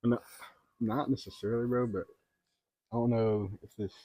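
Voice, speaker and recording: A man's voice: medium pitch, steady tone, normal speed, warm tone, no echo. A man in his twenties chatting casually in an informal, slangy way, hedging as he goes. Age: 20s. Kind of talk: casual chat.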